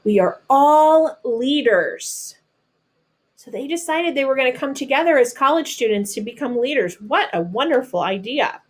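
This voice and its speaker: A woman reads a children's picture book aloud, deliberately, adding simple explanations in her own words as if talking to children. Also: sits high, lively intonation. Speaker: storybook reader.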